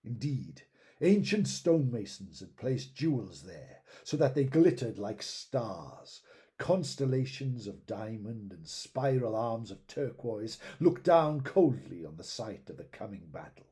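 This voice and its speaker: Slow, husky male fantasy storyteller. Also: medium pitch, very animated, mellow. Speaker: narrator reading fantasy fiction aloud. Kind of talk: dramatic scripted storytelling narration.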